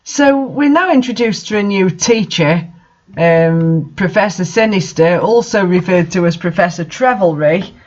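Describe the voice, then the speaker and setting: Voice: medium pitch, animated, a little slow. A person casually commenting on fan fiction they read aloud, exasperated, incredulous and swearing freely. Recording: dull lo-fi microphone sound.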